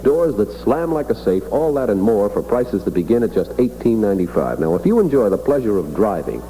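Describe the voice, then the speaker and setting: A man's voice: lowish voice, big pitch swings, brisk, mellow, a little hiss or hum, poor audio. A man hosting a morning radio show in 1960s announcer style, reading time checks and ads. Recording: vintage 1960s radio broadcast.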